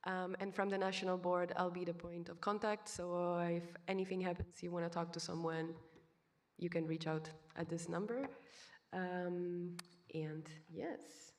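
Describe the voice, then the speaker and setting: A hoarse woman on a board giving short, halting announcements at a meeting. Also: lowish voice, steady tone, darkish tone. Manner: hesitant, halting. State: hoarse, low on voice. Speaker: board member.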